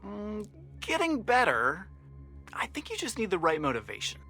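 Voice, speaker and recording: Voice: medium pitch, wildly swooping pitch, moderate pace, pushed and bright, exaggerated voice. An actor playing a goofy, overeager teenage boyfriend in a horror-comic video game, coaxing in an exaggerated way. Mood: eager coaxing. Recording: music under the voice.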